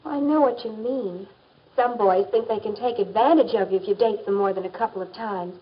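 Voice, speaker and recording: A woman's voice: mid-range voice, a little fast, close to an everyday voice. An American woman acting as an assured teenage girl confidently advising her friend in a 1950s educational film. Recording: dull, muffled 1950s film soundtrack.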